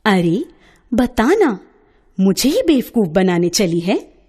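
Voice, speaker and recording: A woman voicing an excitable, warm-hearted young woman artist in a storybook tale, with familiar exclamations. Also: mid-range voice, slow pace, husky, heavily acted. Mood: insistent.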